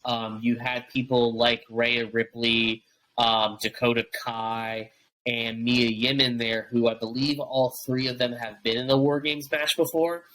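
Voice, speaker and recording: A man's voice: mid-range voice, pushed and bright, low-quality recording. A young man co-hosting a wrestling podcast, chatting casually and rambling with lots of fillers and restarts. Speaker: podcast co-host.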